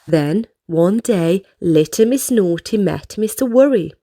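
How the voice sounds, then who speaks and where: A woman reading a picture book aloud to young children in an animated, expressive storytelling style. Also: mid-range voice, measured pace. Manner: animated, addresses young listeners directly.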